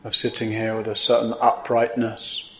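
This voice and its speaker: A man teaching a guided meditation in gently instructive, unhurried phrases with pauses between them. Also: lowish voice, completely flat delivery. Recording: roomy, echoing indoor sound.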